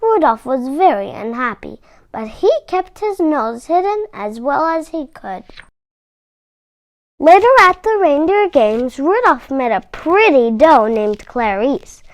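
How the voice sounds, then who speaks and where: A woman reading a children's story aloud with expressive, deliberate delivery and dramatic emphasis. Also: high voice, no room sound.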